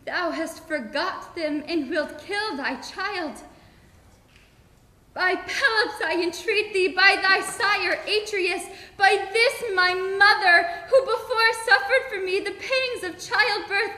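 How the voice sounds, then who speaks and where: A woman's voice: extremely high pitch, takes it slowly, sharp tone, pitched above the performer's own voice, exaggerated voice. A young woman performing a Greek princess who begs her father for her life in a declamatory stage monologue. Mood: desperate. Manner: declamatory, pleading. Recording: echoey reverberant hall.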